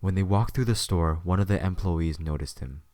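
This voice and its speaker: A man's voice: low-pitched, moderate pace, dark tone, dry recording. A man reading scripted third-person story narration as the narrator of an audio drama.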